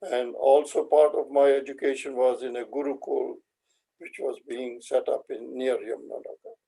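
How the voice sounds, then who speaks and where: An older man from India with an Indian accent, a native Hindi speaker, recalling his life in a halting, measured way as an interview guest. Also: mid-range voice, little pitch movement, creaky, mellow, husky, low-quality recording. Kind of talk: reflective personal recollection.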